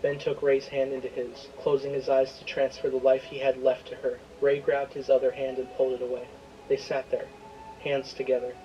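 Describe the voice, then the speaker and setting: A man's voice: mid-range voice, completely flat delivery, moderate pace, lo-fi sound. A man reading a scripted, dramatic story as its narrator. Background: prominent music.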